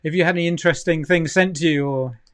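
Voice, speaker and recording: A man's voice: on the high side, quick pace, a bit bright. A man interviewing a guest, hesitant and full of fillers as he phrases questions. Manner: hesitant, many fillers and restarts. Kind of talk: casual video-call interview.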